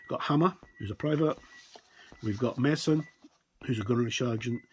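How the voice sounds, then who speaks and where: A man giving a casual, unscripted review as he leafs through a product, talking in halting, rambling short phrases. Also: mid-range voice, low-quality recording. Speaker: tabletop game reviewer. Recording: clear background noise with clicks.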